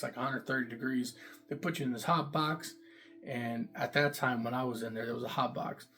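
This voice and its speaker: Man, casual vlog storytelling, mid pitch, loose rambling delivery, faint music behind. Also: vocal fry, fairly bright tone. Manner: loose and repetitive, many fillers, emphatic "boom". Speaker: vlogger recounting jail time. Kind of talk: casual first-person storytelling to camera.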